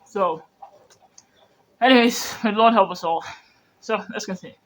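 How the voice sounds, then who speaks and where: High-voiced man. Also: moderate pace, fairly bright tone. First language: Yoruba. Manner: rambling, earnest, full of "you know" and restarts. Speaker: media commentator. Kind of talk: reaction commentary on video clips.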